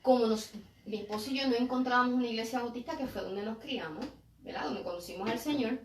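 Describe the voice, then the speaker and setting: A woman's voice: on the high side, fast, a little hiss or hum. A Puerto Rican woman speaking Spanish with a Puerto Rican accent in a conversational discussion, as a guest. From Puerto Rico. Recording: echoey room, distant microphone.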